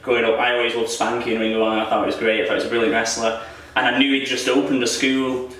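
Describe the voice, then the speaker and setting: A young man talks quickly in a loose, rambling way, often poking fun at himself and laughing. His voice sits at an ordinary pitch but comes from some distance off the mic, and it bounces around a very echoey room. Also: little pitch movement, a bit bright. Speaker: wrestler interviewee. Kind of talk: casual interview chat.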